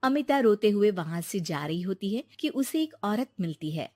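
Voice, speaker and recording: A woman narrating a cartoon story in an even, measured way on a close studio mic. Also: mid-range voice, dry recording.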